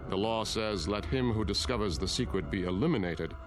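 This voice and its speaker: Radio drama, male: courteous utopian elder statesman played by an actor, low and measured, self-assured explainer, music underneath. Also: lightly acted. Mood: calm, deliberating.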